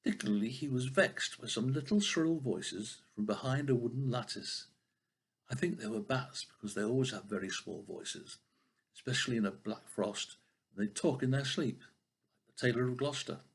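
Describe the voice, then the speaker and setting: An older man reading a children's story expressively, with a husky voice and the odd stumble over a word. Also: medium pitch, moderate pace. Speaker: storybook reader.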